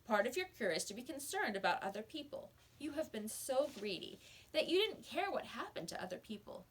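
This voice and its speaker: Woman reading as calm, stern old shopkeeper, animated intonation. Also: sits high, husky, pitched above the performer's own voice, exaggerated voice, poor audio. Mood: measured, instructive. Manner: measured, didactic.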